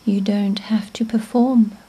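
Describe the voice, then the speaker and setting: A woman narrating a guided meditation in a gentle, soothing, hushed manner, with phrase endings fading toward a whisper. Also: mid-range voice, fairly even intonation, measured pace, slightly rough.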